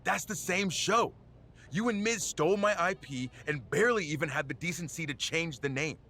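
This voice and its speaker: An actor playing an aggrieved wrestler in a video game, confronting someone with emphatic accusations. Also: male voice, on the high side, normal speed, pushed and bright. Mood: indignant. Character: indignant male wrestler, aggrieved video game show creator.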